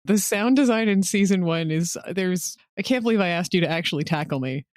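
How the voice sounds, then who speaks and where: A woman's voice: lowish voice, expressive, a little fast, darkish tone, no echo. A woman chatting casually and candidly, in an informal, emphatic way. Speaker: podcast co-creator, writer. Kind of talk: casual chat.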